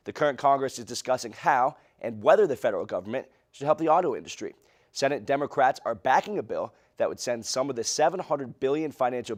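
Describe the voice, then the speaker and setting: A man's voice: mid-range voice, darkish tone. A young man with an American accent reads scripted news in a formal newscaster delivery, as a reporter and anchor. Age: young adult.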